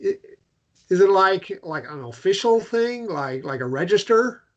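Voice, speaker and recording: A man playing an awkward, gently joking American man in love in a naturalistic romance drama read, hesitant and stumbling over his words. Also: sits high, expressive, a little slow, lo-fi sound. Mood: curious, hesitant.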